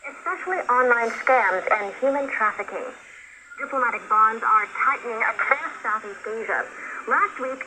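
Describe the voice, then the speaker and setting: High-voiced newswoman over noisy shortwave. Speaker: news anchor. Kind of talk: scripted news narration. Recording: noisy shortwave radio broadcast. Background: clear radio static.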